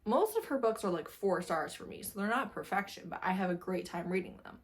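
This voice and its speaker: A woman's voice: medium pitch, expressive, brisk, slight background noise. Chatty, emphatic woman reviewing books. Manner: informal, emphatic, repeats phrases for emphasis. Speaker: book reviewer vlogger. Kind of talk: casual chatty book review to camera.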